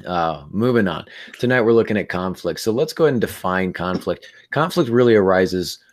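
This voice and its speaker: A man hosting a writing podcast in a casual, halting way with many fillers, his voice worn down by laryngitis. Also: low voice, lively intonation. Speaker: podcast host. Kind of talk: casual podcast chat and teaching.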